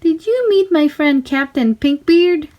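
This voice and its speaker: A tiny mermaid girl, voiced by a woman storyteller, calls out in a high little voice. She is friendly and sunny, a picture-book sea creature. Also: expressive, unhurried, muffled recording. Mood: cheerful.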